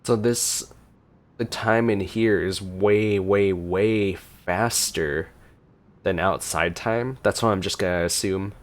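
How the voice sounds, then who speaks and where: A man's voice: low-pitched, takes it slowly. A man giving casual, unscripted gameplay reaction commentary as a streamer, musing aloud as he plays. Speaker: gaming streamer. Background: game music.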